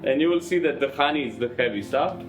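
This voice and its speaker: A man's voice: mid-range voice, quick pace, over music, low-quality recording. A man giving a beekeeping tour to visitors, explaining honey making with playful jokes. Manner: playful, jokes with visitors, slightly non-native phrasing.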